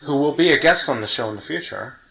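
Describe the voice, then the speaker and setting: A man's voice: medium pitch, expressive, fast, gravelly, sharp tone, low-quality recording. Man hosting a casual chat. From New York City. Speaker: podcast host interviewing a friend.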